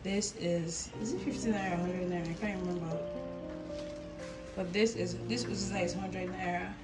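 A woman with a Nigerian accent chatting casually in her home kitchen as a cooking vlogger, pausing with "um" as she recalls prices. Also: on the low side, steady tone. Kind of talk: casual on-camera chat. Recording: loud background music.

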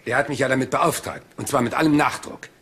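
A man's voice: medium pitch, fast, fairly bright tone, a little hiss or hum, lightly acted. A man playing a rumpled, polite but shrewd police inspector in a German crime audio drama, hesitant and mumbling as if absent-minded. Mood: placating. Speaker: actor dubbing a police inspector.

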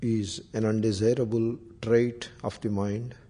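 Indian guy, low flat voice, meditation teacher, deliberate phrasing, bits of sanskrit. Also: slightly rough. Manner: slow, deliberate, long pauses between short phrases. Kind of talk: guided meditation with Sanskrit chanting.

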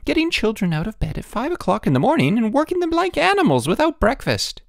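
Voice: mid-range voice, wildly swooping pitch, fast talker, creaky, pitched above the performer's own voice. A narrator voicing an indignant, bossy middle-aged wife in a storybook, exclaiming and scolding.

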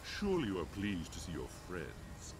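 A deep-voiced undead sorcerer, sinister and gloating. His game-villain lines rise and fall dramatically over loud storm noise. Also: huge pitch swings, moderate pace. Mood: gloating menace. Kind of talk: video game cutscene acting.

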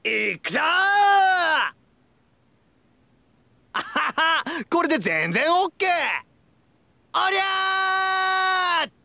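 A man playing a hyperactive, cheerful, childlike young goofball in an anime mobile game, with a bright boyish voice and an exuberant manner. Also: extremely high pitch, sing-song, extremely slow, gravelly, long pauses, dry recording, heavily acted. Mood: wildly excited. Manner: exuberant, bursting into shouts and laughs.

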